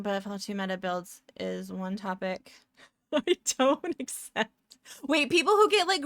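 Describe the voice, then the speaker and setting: A woman's voice: fairly high pitch, fairly bright tone, dry recording, studio quality. A young woman with a Canadian accent, chatting casually with her stream viewers, rambling and upbeat.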